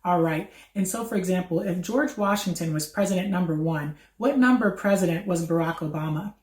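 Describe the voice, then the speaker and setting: Female children's host, lowish voice, encouraging question-and-answer teaching style, recorded in an echoey room. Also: brisk, darkish tone. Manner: encouraging, repeats and confirms answers. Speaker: host of a children's read-aloud. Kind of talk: educational chat, quizzing a child.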